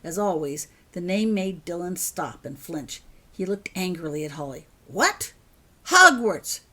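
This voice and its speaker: Female author-narrator, lowish pitch, reading a scripted story. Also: moderate pace, a little mellow.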